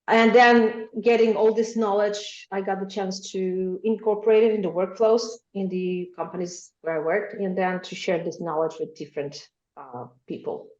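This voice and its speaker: A woman's voice: on the low side, darkish tone. A woman with a Macedonian accent, a podcast guest answering at length with many ums and uhs. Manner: thoughtful, many ums and uhs, light laughs. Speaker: guest, machine translation specialist. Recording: roomy, dull lo-fi remote sound.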